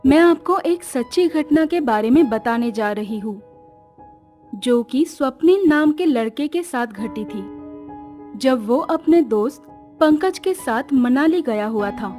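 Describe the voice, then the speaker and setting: A woman's voice: high voice, expressive, a little slow, a little mellow. A woman narrating a scripted horror story as a storyteller. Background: music.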